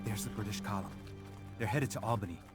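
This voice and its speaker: An actor playing an earnest, determined young frontier militia captain in a video game cutscene. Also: male voice, lowish voice, quick pace, music in the background, close to an everyday voice. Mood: grim resolve. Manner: earnest, resolute.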